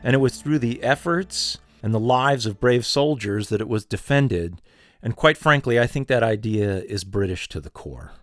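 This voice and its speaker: A man's voice: mid-range voice, lively intonation, normal speed, dry recording, faint music behind. A man, a former game developer, recalling past work as an interviewee. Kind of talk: interview recollection.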